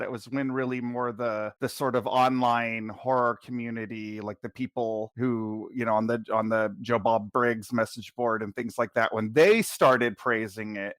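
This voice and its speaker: A man chatting on a film podcast in a relaxed, frank way, often restarting his sentences. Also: medium pitch, no room sound. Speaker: podcast panelist. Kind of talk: casual film discussion.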